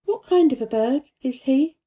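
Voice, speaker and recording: Storybook little girl, performed by a woman: high-pitched, prim, direct, a cool and curious loner. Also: lively intonation, normal speed, mellow. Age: child. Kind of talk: storybook character reading.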